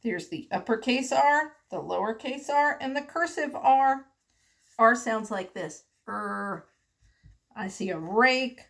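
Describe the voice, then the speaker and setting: A woman preschool teacher reading the alphabet aloud to children in simple, deliberate, sing-song phrases with questions. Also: sits high, fairly bright tone.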